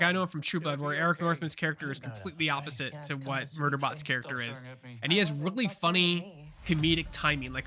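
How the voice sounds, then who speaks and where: A man co-hosting a pop culture show, chatting casually in a rambling, animated way with lots of fillers and restarts. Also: on the high side. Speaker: co-host.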